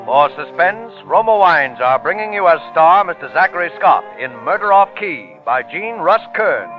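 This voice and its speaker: High-pitched vintage male radio announcer. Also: animated, moderate pace. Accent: American. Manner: formal, portentous. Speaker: program announcer. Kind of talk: dramatic 1940s radio announcing. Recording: dull old radio recording, faint music.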